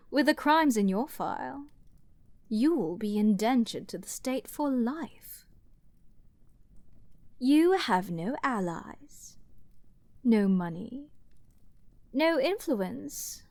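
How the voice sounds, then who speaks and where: Arrogant royal princess, dominant, flirty, menacing, theatrical fantasy roleplay, lively swooping intonation, dry close-mic sound. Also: female voice, fairly high pitch, dry recording. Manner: theatrical, haughty, teasing, drawn-out delivery dropping into whispers. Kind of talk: dramatic fantasy ASMR roleplay. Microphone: close studio mic, dry.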